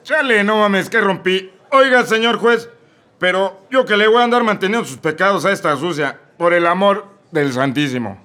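Man as a crude, leering Mexican drunk, high and bright, radio comedy. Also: moderate pace. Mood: protesting. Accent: Mexican Spanish. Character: lecherous, boisterous male drunk heckler. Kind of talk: comic radio sketch acting.

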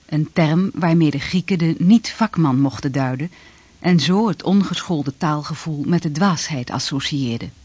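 Dry-voiced narrator. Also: male voice, fairly high pitch, moderate pace, a little mellow, no echo. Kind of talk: scripted narration.

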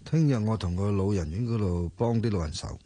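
A low, dark voice that rattles along quickly, a man playing a reluctant young impostor who keeps protesting. He has a Hong Kong Cantonese accent and a slightly husky tone in a radio drama. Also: no echo, lightly acted. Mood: uneasy, defensive. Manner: hesitant, protesting.

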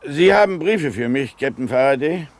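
A well-spoken merchant gent in a radio play, polite, curious, very animated pitch. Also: male voice, moderate pace. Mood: businesslike. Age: middle-aged. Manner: courteous, well-spoken, questioning. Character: composed, inquisitive colonial merchant gentleman, a father. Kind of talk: 1970s German radio drama acting.

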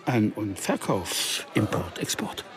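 A man's voice: lowish voice, big pitch swings, takes it slowly, faint music behind, heavily acted. An actor playing a sly, chatty small businessman in shady import-export, making probing small talk in a radio crime drama.

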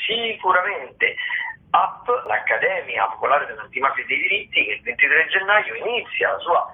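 A man hosting a radio show, presenting theatre listings and reviews in a halting way, with drawn-out words and self-corrections. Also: a little high, very animated. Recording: thin, phone-like narrowband sound.

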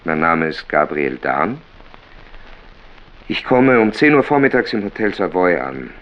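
An actor playing a sober, weary man home from war captivity, in a measured literary radio play monologue. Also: low-pitched, very animated. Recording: dull sound with clear background noise.

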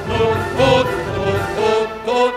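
A woman's voice: fairly high pitch, steady tone, takes it slowly. A woman performing a mock-tragic dying lover in a radio play, singing death verses in operatic style. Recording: echoey long reverb, loud orchestral music.

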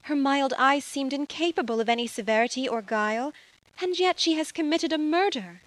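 A woman voicing a gentle young noblewoman, earnest and emotional, in an audio drama. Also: high voice, moderate pace, dry recording, lightly acted. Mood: dismayed. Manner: earnest, emotional, tender pleading.

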